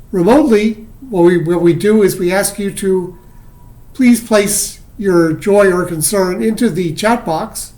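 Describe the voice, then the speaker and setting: A worship leader giving gentle, welcoming service announcements during a remote online service, with a few small restarts. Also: mid-range voice.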